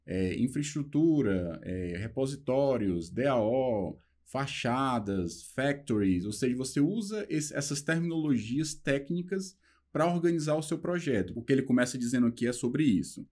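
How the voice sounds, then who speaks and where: Young Brazilian male tech instructor, relaxed and chatty, mid-pitched. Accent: Brazilian Portuguese. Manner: talks loosely with fillers, slows down reading English passages. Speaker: programming instructor. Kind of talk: casual explanatory lesson, reading and translating a book.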